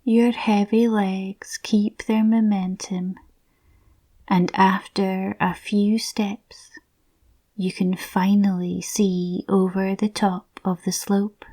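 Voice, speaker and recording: A woman softly narrating a scripted guided visualisation in a soothing, breathy, near-whispered voice. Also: mid-range voice, level tone, slow pace.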